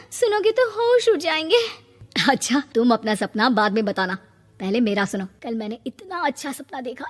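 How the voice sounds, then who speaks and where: A woman voicing an eager, doting, naive young husband who chats enthusiastically in a family comedy story. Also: high-pitched. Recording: long reverb, music behind.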